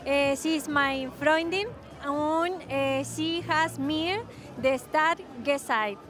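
A woman's voice: high voice, takes it slowly, fairly bright tone, faint music behind. A woman from Chile with a Chilean Spanish accent, a native Spanish speaker introducing herself in hesitant learner's speech. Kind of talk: halting self-introduction.

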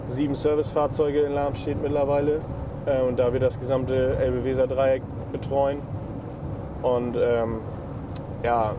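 A man's voice: mid-range voice, noisy background. A man in his twenties from northern Germany, a service technician, speaking in a plain, matter-of-fact way with filler words. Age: 20s. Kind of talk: casual interview answers.

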